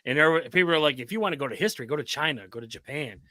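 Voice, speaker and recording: A man's voice: fairly high pitch, animated, rapid-fire, pushed and bright, no room sound. An American man hosting a casual interview show in an informal, rambling way. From United States. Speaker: talk show host.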